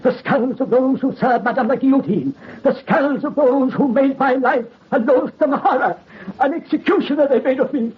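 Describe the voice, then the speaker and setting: An actor playing a dying old French count, vengeful and commanding, in formal theatrical old-time radio horror style. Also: male voice, extremely high pitch, normal speed, slightly rough, full character voice. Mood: fanatical, commanding. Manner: theatrical, archaic formal diction. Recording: muffled, noisy old radio recording.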